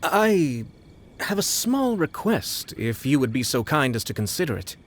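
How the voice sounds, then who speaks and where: A man voicing a courtly, suave young lord in a video game, composed and slyly amused, with formal, polite, measured phrasing. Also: on the high side, big pitch swings. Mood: politely persuasive. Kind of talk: video game cutscene acting.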